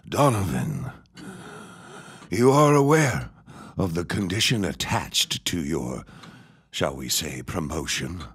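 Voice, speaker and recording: An actor playing a menacing, scheming old reverend in an audio drama, husky and pompous in his deliberate delivery. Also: low voice, heavily acted. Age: older. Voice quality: husky, raspy.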